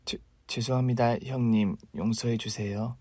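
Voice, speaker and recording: A man voicing an arrogant, mocking younger sister-in-law in a spoken story, whose sharp talk turns to stammering fear. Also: on the high side, lively intonation, brisk, dry recording, studio quality, natural voice. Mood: frightened.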